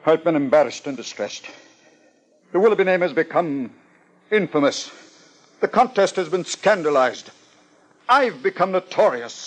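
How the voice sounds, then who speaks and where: A man's voice: fairly high pitch, very animated, normal speed, pauses often. An actor playing a pompous contest sponsor with murder in his past, in formal 1950s radio drama diction. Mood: indignant, agitated.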